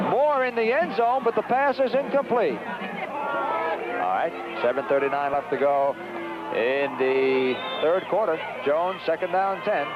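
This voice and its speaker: An American man giving live radio play-by-play commentary of American football, animated and urgent in short clipped phrases. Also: high-pitched. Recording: dull, noisy 1970s radio broadcast recording.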